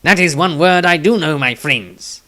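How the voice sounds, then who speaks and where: A man's voice: fairly high pitch, measured pace, pushed and bright. Man voicing a friendly, knowing halfling. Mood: friendly, explaining.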